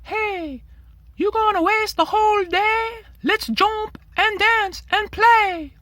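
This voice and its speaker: A man voicing an energetic young boy, eager, excited and playful, for a children's storybook. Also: extremely high pitch, slow pace, dry recording, pitched above the performer's own voice, heavily acted.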